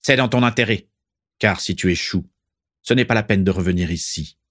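A man's voice: deep voice, moderate pace, fairly bright tone, no room sound, clean studio sound. A man voicing a harsh old aristocratic father in a storybook, contemptuous and biting. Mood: cold contempt. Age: older.

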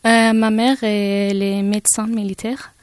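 A woman from Afghanistan with an Afghan accent, her first language Persian, speaking as a guest in a radio studio interview and pausing often to find her words. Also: mid-range voice, flat delivery, clear, resonant tone, no echo.

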